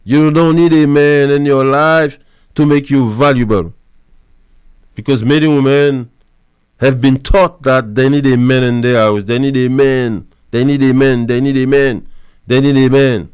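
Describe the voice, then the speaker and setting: A man's voice: medium pitch, fairly even intonation, unhurried, a little mellow, clear, resonant tone, no room sound. A man lecturing women on marriage as a pastor and counselor, repeating his points with emphasis. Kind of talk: preachy advice monologue.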